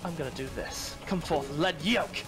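A man's voice: fairly high pitch, moderate pace, over music. A young man announcing his moves as a player in a card-game roleplay.

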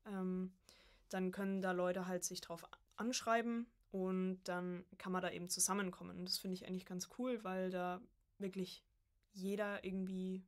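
A young woman chatting casually as a guest on a podcast, a student of voice acting. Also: medium pitch.

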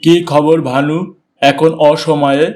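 A man's voice: a little high, little pitch movement, takes it slowly, darkish tone. A man voicing a callous, blustering village landlord in a cartoon story. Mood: lordly. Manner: haughty, blustering. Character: callous, bullying village landlord, cowardly when scared.

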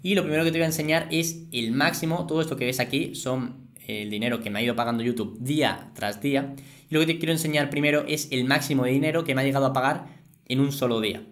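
A young man with an accent from Spain presents an online explainer. His voice sits at a middle pitch, and he stresses key words as he walks the viewer through things step by step. It is recorded indoors in a small home studio. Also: fast. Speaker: YouTuber presenting a finance channel. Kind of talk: explainer to camera.

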